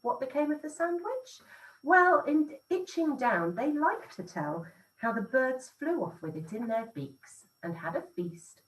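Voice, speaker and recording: A woman teacher reading a rhyming picture book aloud in an expressive, sing-song storytelling way. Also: high voice, measured pace, far from the mic, poor audio.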